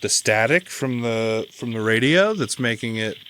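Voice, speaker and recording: A man's voice: medium pitch, expressive, moderate pace, pushed and bright, slight background noise, rough recording. A man giving casual, rambling and enthusiastic reaction commentary as a video host, swearing freely. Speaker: video host reviewing horror channels.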